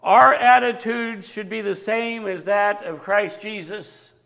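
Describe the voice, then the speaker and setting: A man preaching a sermon with a big voice that grows animated at times. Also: sits high, slow, gravelly, long reverb tail. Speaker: pastor.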